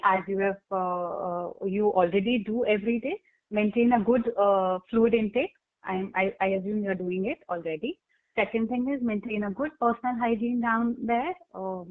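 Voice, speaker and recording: Halting woman doctor on a phone line. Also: mid-range voice, steady tone. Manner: halting, many fillers and restarts. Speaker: doctor candidate in a role-play. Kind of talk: practice exam consultation.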